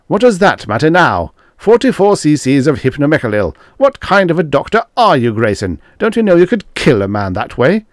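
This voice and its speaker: Man doing an anxious, whining staff man, high-pitched. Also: big pitch swings, normal speed, hardly any pauses, a little mellow, no room sound, clean studio sound. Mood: exasperated.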